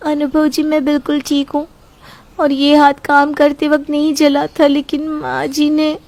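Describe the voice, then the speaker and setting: A woman's voice: high-pitched, monotone, a little slow, background noise. Woman playing a scheming, whiny young wife. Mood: whining. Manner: theatrical, whining, fake tearful. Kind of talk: cartoon storybook voice acting.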